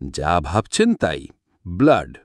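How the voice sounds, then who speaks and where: A man's voice: low-pitched, huge pitch swings, slow pace, dry recording, studio quality, exaggerated voice. A man voicing a composed private detective in an audiobook, analytical and assured as he speaks. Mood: deliberate, analytical. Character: sharp, composed male private detective questioning witnesses.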